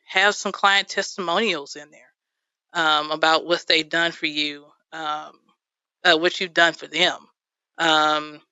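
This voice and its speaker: A woman's voice: fairly low pitch, sharp tone, clean tone, no echo, lo-fi sound. A woman videographer, a guest on a radio show, who explains things chattily with many fillers and laughs easily, with a Texan accent. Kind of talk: radio interview. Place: radio studio.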